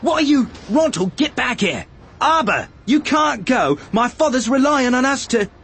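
A man voicing a stubborn, angry young man, shouting defiantly in a dramatised audiobook. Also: fairly high pitch, animated, moderate pace, slightly rough, pitched above the performer's own voice. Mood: angry, disbelieving. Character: angry, stubborn young man defending his father's work.

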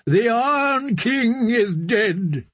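A stern, zealous prophet of a sea god, preaching slowly and with weight, as a man puts him on. His voice sits a little raised, husky around the edges, and swings widely in pitch as he calls out. The sound is close and dry. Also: expressive, fairly bright tone, dry recording, exaggerated voice. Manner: solemn, preaching, measured.

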